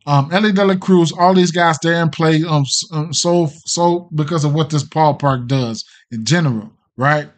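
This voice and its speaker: A man's voice: fairly high pitch, normal speed, hardly any pauses. A middle-aged American man hosting a casual betting livestream, rambling off the cuff with plenty of slang and swearing. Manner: slangy, profane, hesitant and rambling. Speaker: sports betting show host.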